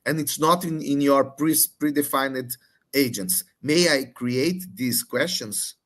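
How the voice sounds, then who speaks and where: A man moderating a live Q&A, putting questions in a halting way as he searches for words and rephrases. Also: mid-range voice, fairly bright tone. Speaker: moderator relaying audience questions.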